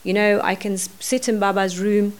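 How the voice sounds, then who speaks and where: Brief: woman guest, earnest and reflective, loose spoken phrasing, middle pitch. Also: little pitch movement, normal speed. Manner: earnest, thinking aloud with many "you know"s. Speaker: podcast guest. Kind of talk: reflective podcast conversation.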